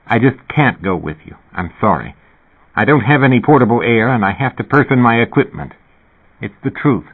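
A man's voice: mid-range voice, animated, normal speed, husky. A man voicing an irritable, reluctant male loner in an audiobook, weary and grumbling. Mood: apologetic, reluctant. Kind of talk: audiobook character dialogue. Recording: narrow, phone-like band.

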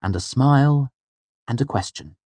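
A clever, intense young male student in an audiobook, played by a man. He speaks with wry, earnest emphasis and an animated pitch, heard close and dry. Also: moderate pace, husky, no echo. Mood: gently playful. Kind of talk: audiobook character dialogue.

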